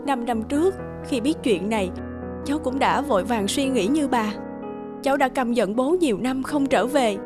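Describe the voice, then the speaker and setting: A woman's voice: high-pitched, moderate pace, music in the background, lightly acted. A woman playing a grieving, bitter young daughter in a cartoon drama, emotional and earnest. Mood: earnest, pleading. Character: grieving, bitter young daughter defending her dead father. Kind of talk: dubbed cartoon drama acting.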